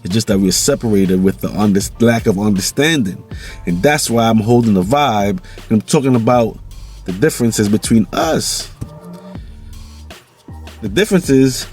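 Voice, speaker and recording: A man's voice: on the low side, animated, moderate pace, darkish tone, faint music behind. A man from Jamaica with a Jamaican accent, talking loosely in a casual vlog monologue and often tossing in "you know". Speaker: vlog host.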